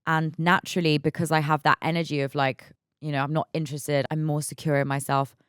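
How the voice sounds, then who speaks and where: A raspy, hungover woman in her mid-twenties hosting her own podcast, chatting candidly, laughing often and repeating words as she talks. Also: deep voice, little pitch movement, fairly quick, a bit bright, no echo, studio quality. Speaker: podcast host. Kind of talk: casual solo podcast chat.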